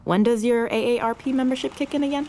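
An actress playing a dutiful young older sister in an audio drama, with a dry, casual, teasing manner. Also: female voice, on the high side, fairly even intonation, quick pace, warm tone, slight background noise, close to an everyday voice.

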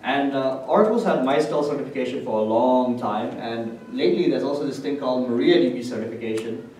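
A man's voice: mid-range voice, fairly quick, a little mellow. Echoey, distant male conference speaker. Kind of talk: technical conference presentation. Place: indoor hotel conference room with projector screen. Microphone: distant camera microphone. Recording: echoey room, distant mic, faint noise.

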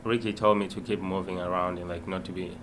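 A young man speaks into a desk mic at a post-game press conference in a relaxed, casual way, with many "like" fillers. His voice is very low and almost completely monotone. A clear hiss sits under it. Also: fast talker. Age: young adult. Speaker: player. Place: small press room. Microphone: desk mic on stand.

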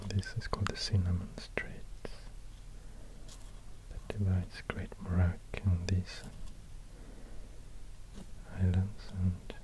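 A man with a Swedish accent giving soft-spoken, breathy, near-whispered ASMR commentary, unhurried and hesitant, close to a binaural microphone. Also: deep voice, completely flat delivery, rough recording. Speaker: ASMR video host. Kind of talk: soft-spoken ASMR, casual musing while drawing.

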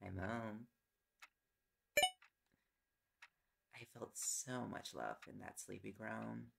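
A very creaky, fry-heavy young male voice acted by a man plays a fond, teasing boyfriend in an audio roleplay. He chats and coaxes playfully in an intimate bedtime style. Heavy noise and clicking run behind him. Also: mid-range voice, heavily acted. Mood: teasing, coaxing. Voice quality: gravelly, creaky. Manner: playful coaxing, chatty. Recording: loud background noise with clicks. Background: ticking and clicking sounds.

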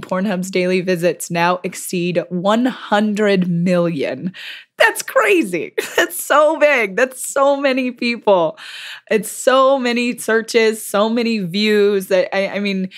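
A woman hosting a solo podcast, her voice a bit hoarse from a cold, talking chattily and laughing often. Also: medium pitch. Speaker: podcast host.

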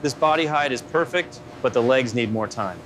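A man's voice: mid-range voice, moderate pace, heavy background noise. A man directing factory work in scripted dialogue.

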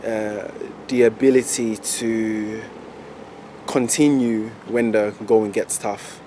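A man's voice: on the low side, steady tone, dark tone, a little hiss or hum. Earnest young male entrepreneur. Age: young adult. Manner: earnest, deliberate listing. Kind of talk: interview answer. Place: outdoors.

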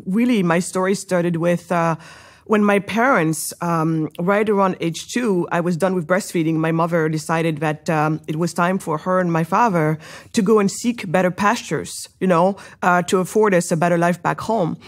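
A middle-aged woman from Senegal, an entrepreneur, telling her life story in an interview with lively, rising excitement and many fillers. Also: moderate pace. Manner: animated, many fillers, rises high when excited. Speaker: guest entrepreneur.

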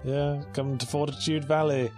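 A young man co-commentating a video game, delivering dry, deadpan jokes. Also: on the high side, normal speed. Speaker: let's play co-commentator. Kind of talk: casual gaming banter. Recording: faint game music behind.

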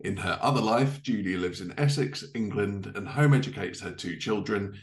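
A man's voice: lowish voice, normal speed. A man in his thirties presenting a podcast in a chatty way from a living room at home. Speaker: podcast host.

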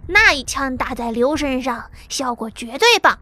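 A woman's voice: high-pitched, animated, normal speed, bright, forceful tone, soft background music. A woman voicing a brash male workshop boss who eagerly explains his weapon ideas in an audiobook drama. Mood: explaining.

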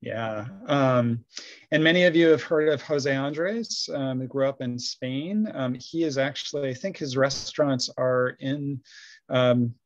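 A man's voice: medium pitch, unhurried, dry recording, lo-fi sound. A man presenting in a webinar, hesitant, with many ums and uhs, on a video call. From grew up overseas. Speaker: webinar guest speaker. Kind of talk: casual presentation.

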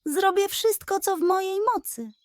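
Woman as young storybook girl, high voice, sweet, eager, brave, wide-eyed. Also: normal speed, dry recording, clean studio sound. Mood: determined. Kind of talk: children's storybook character voice.

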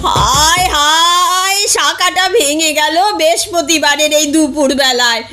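Woman acting plump excitable mother-in-law, high-pitched, fretful, drawn-out wails, exclamatory, comic storybook. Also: over music. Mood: frantic wailing. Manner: wailing, drawn-out calls, exclamatory.

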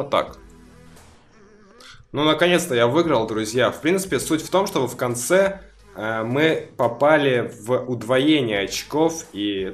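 Guy explaining a game mod install step by step, bit hoarse, faint music under him. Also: on the low side. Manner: chatty, talks viewers through each step. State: slightly hoarse from a cough. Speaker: gaming video creator. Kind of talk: casual screen-recorded mod tutorial.

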